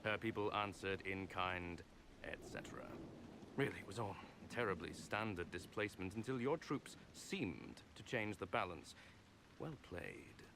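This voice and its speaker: Low-voiced, smug nobleman. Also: animated, measured pace, noisy background. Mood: smooth, persuasive. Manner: pompous, oily, mock-courteous. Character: smug, scheming male nobleman, genteel and condescending. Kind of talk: video game voice acting.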